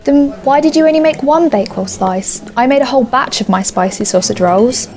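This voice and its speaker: A woman's voice: sits high, brisk, a little mellow, faint music behind, lightly acted. An actress playing a forthright village woman proud of her cooking in a comic radio drama. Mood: forthright, miffed. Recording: crowd murmur behind.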